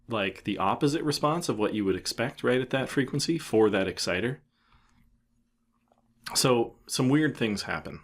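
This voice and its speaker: A man presenting a software tutorial in a casual, unscripted way, thinking aloud as he explains what he is doing. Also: mid-range voice. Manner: informal, thinking aloud with trailing phrases.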